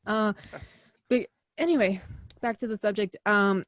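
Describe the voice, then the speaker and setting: A woman's voice: mid-range voice, measured pace, no echo. A young woman with a Chicago accent, chatting casually in an interview and laughing often between many fillers. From American Midwest. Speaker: actor, interview guest.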